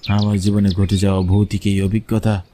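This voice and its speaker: A man's voice: on the low side, flat delivery, brisk, dark tone, slight background noise. A man reading a scripted horror story as a dramatic first-person narrator.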